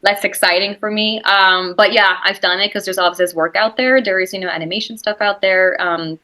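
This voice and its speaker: A woman's voice: mid-range voice, fairly even intonation, brisk, bright, forceful tone. A woman with a Swiss German accent, whose first language is Swiss German, speaking as an actress guest in a casual interview, chatty and full of "like" and "you know". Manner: chatty, lots of "like" and "you know", laughs easily.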